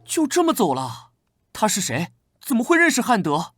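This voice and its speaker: A man playing a gentle, weary, earnest young knight in an animated drama. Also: high-pitched, normal speed, no echo, studio quality, heavily acted. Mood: questioning, serious. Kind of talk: Chinese animated drama voice acting.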